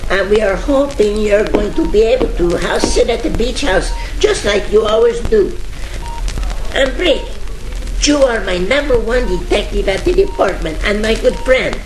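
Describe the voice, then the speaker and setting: A man playing a high-voiced, emphatic police chief. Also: lively intonation, normal speed. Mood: warm, reassuring. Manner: emphatic, repeats himself. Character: friendly, exasperated male police chief, fit and loyal. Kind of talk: parody old-time radio detective comedy acting. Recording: echoey old-radio parody with music.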